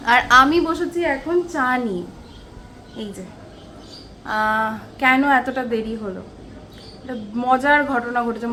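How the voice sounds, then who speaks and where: A woman's voice: a little high, a bit bright. A woman with a West Bengali accent telling everyday stories casually to viewers in a chatty home vlog. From West Bengal, India. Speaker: vlogger. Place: small one-room home. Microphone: handheld camera at arm's length. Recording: faint background noise.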